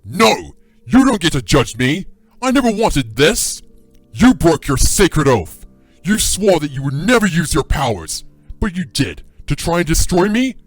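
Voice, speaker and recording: A man's voice: on the high side, sing-song, measured pace, no echo, soft background music. A man playing a power-hungry young male dragon in an audio drama, wounded and enraged, with a theatrical delivery. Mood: furious, accusing. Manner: theatrical, swinging from shouts to menacing murmurs.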